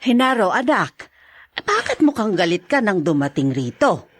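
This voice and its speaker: A woman's voice: mid-range voice, sing-song, moderate pace, breathy edge, dry recording. An actress playing a concerned, inquisitive mother of grown children in a Filipino drama, with a Filipino accent. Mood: puzzled, worried. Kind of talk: Filipino radio drama acting.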